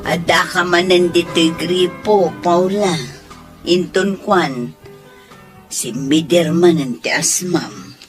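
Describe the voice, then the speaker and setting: A woman voicing a caring village neighbor who talks in a slow, homely way. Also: lowish voice, over music. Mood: concerned.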